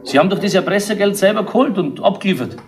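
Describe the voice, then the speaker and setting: A chatty policeman, who talks quickly with a lively rise and fall. His manner is easygoing. It comes across as a 1970s radio play. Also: sits high. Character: chatty male police officer Fröschel. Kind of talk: 1970s radio crime drama.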